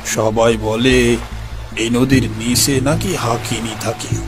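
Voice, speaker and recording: Grave boatman acted by a man, hushed, slow, ominous warning, horror audio story, music behind. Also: mid-range voice, fairly even intonation, lightly acted. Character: grave village boatman warning of a river demoness.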